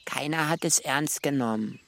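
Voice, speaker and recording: An actor in a children's radio play playing a naive, proud young would-be sailor, earnest and defiant. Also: male voice, a little high, normal speed, vocal fry, fairly bright tone. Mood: indignant.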